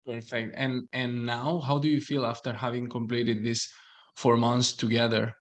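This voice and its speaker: A man hosts an interview at an unhurried pace, with a voice of ordinary pitch. He keeps his guest going with frequent short affirmations and friendly follow-up questions. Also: flat delivery. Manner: slow, encouraging, frequent affirmations. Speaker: language coach and host.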